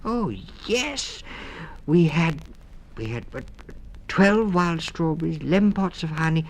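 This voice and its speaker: A man voicing excitable little mouse children in an eager singsong for a children's storybook record. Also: a little high, unhurried, voice pushed up. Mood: eager, breathless.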